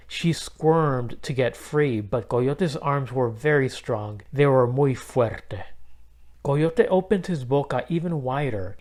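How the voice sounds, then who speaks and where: A man reading a children's storybook aloud as an expressive narrator. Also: mid-range voice. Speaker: storybook reader.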